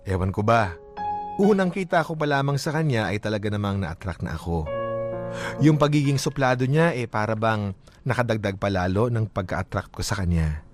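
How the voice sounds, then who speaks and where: A lovestruck Filipino storyteller read by a man confides a romance in scripted radio-drama narration. The delivery is dramatic and animated, rising and falling widely over a clear music bed. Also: on the low side, normal speed, close to an everyday voice. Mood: wistful, self-assured. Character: lovestruck, self-assured letter writer telling a romantic story.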